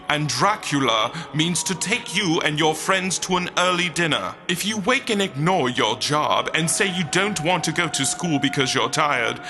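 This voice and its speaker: A man playing a holy being of light in a comic audio drama, booming and declamatory, with flippant, teasing asides. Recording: music behind the voice.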